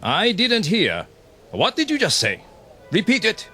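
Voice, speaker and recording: An imperious, ruthless military captain in a radio drama. His fairly high voice swings dramatically from clipped menace to cold contempt, over clear background music. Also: huge pitch swings, unhurried, pushed and bright, full character voice. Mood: menacing, disbelieving. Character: ruthless, imperious Japanese army captain in wartime.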